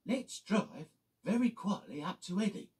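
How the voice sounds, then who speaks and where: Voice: mid-range voice, animated, a little slow, distant mic, pitched above the performer's own voice, lightly acted. A storyteller voicing Red, a little car leading a plan in a conspiratorial tone. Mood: plotting. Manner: conspiratorial, hushed. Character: scheming little toy car, leader.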